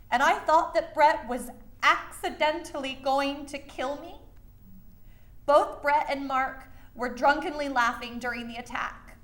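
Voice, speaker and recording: Woman playing vulnerable witness, high voice, really slow n careful, echoey room. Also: a bit bright. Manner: slow, careful, halting phrasing. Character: earnest, vulnerable woman witness recounting trauma. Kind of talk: staged reading of testimony.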